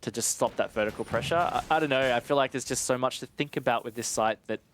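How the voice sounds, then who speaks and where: A man's voice: mid-range voice, fast talker, fairly bright tone, a little hiss or hum. A man giving conversational, analytical live commentary on an esports match.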